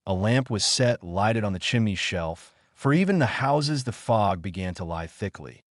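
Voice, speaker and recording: A man narrating a dramatic audiobook in a measured, formal literary manner. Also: fairly low pitch, lively intonation, no room sound, studio quality.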